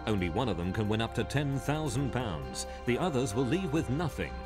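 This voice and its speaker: A man announcing a television quiz show in a measured, dramatic voice-over. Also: mid-range voice, sing-song. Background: loud show music.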